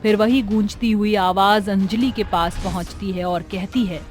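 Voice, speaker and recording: A female narrator reads a horror tale in a dramatic storytelling style. Her pitch is average for a woman, and music plays softly beneath. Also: steady tone, a little slow. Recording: music under the voice.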